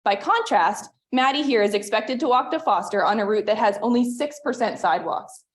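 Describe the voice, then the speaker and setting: A woman in her thirties from western Pennsylvania, reading a prepared public comment at a town meeting in an earnest, measured way. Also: a little high. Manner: earnest, measured, reads from notes. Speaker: resident giving public comment.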